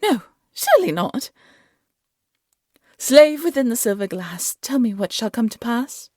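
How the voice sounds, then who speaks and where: A woman's voice: on the high side, sing-song, measured pace, a little mellow, no room sound, pitched above the performer's own voice. A woman playing a vain, scheming evil fairy-tale queen in an audio drama, imperious and theatrical. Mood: impatient, imperious.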